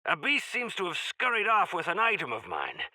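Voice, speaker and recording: An actor playing a pompous, grandiloquent heroic quest-giver in a video game, with florid, theatrical phrasing. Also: male voice, high-pitched, wildly swooping pitch, moderate pace, bright, forceful tone, no echo, exaggerated voice. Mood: earnest, courteous. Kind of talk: video game character voice lines.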